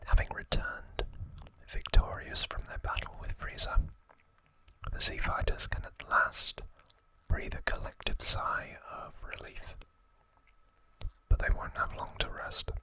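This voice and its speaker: A man in his thirties whispering in a breathy voice, telling a story as an ASMR host in short phrases with pauses. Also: pushed and bright, poor audio. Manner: slow, deliberate, short phrases with long pauses.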